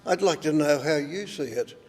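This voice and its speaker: A man's voice: on the high side, normal speed. A man in the audience asking a question, hesitant and stumbling over his words. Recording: roving mic in a hall, faint room noise.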